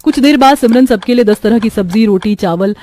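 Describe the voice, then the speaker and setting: A woman's voice: fairly high pitch, fairly quick, dark tone. A woman reading scripted storytelling narration as the narrator.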